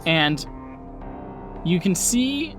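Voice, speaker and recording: A man's voice: high-pitched, sing-song, extremely slow, music in the background. A man narrating a tabletop game as game master, casual and improvised, feeling for words as he goes. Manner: informal, searching for words.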